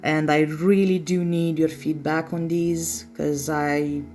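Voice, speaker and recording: A woman's voice: deep voice, little pitch movement, takes it slowly, darkish tone, soft background music. A chatty, self-deprecating woman with a non-native English accent, giving a casual nail art tutorial in voice-over. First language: not English.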